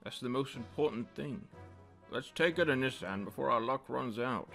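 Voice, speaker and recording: A man's voice: medium pitch, normal speed, gravelly, a bit bright, faint music behind. A man playing a kindly, deferential retainer who speaks formally and politely in a radio drama. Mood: gentle, soothing. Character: courteous, kindly male retainer, gentle and deferential. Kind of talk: fan radio drama acting.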